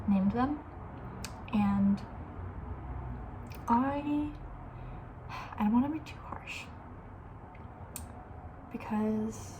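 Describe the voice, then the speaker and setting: A woman speaking in a soft, breathy ASMR style, often slipping into a whisper, chatting casually in a gentle, hesitant way. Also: medium pitch, highs cut off, background noise. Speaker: ASMR video creator, host.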